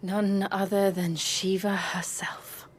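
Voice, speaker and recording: An actress playing a solemn, knowing ice mage in a dramatic fantasy video game. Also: female voice, medium pitch, flat delivery, slow, a little hiss or hum, close to an everyday voice. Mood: hushed wonder.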